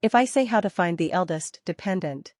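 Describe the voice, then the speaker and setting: A woman working as a software trainer, teaching interactively step by step, repeating key points and posing questions to learners. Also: on the low side, lively intonation, fairly quick, dry recording, clean studio sound.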